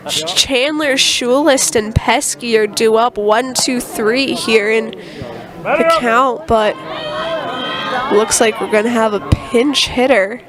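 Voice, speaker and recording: A woman with a slightly high voice calls a game play by play, still recovering from a cold. Loud spectators carry on behind her at an outdoor field. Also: slow pace. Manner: steady play-by-play with read-in sponsor spots. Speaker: play-by-play announcer. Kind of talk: live sports commentary. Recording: crowd noise behind.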